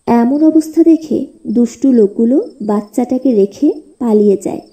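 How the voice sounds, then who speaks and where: A woman's voice: fairly high pitch, moderate pace, mellow. A woman narrating a scripted moral tale for a cartoon, as the storyteller.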